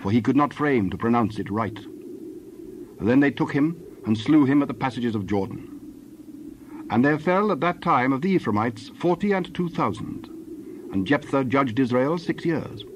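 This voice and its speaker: A man's voice: medium pitch, expressive, moderate pace. A man reading scripted Bible narration in a solemn, formal manner. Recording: dull lo-fi with faint noise.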